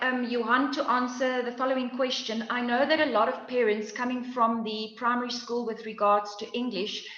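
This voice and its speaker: A middle-aged woman with a fairly high voice moderates a panel over a video call, asking long, winding questions full of fillers. The sound is roomy and a little dull, as if picked up by a laptop webcam. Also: fairly bright tone.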